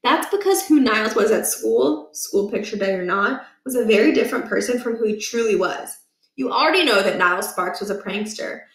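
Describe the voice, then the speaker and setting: Higher-voiced woman storyteller in an echoey room. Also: expressive, a little fast. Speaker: storyteller reading aloud. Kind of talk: expressive children's book narration.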